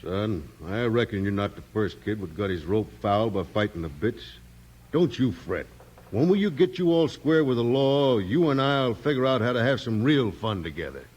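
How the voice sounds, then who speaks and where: An American man playing a kindly, husky-voiced rancher father in a 1950s radio western. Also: on the low side, animated, moderate pace, darkish tone, poor audio. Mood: fatherly.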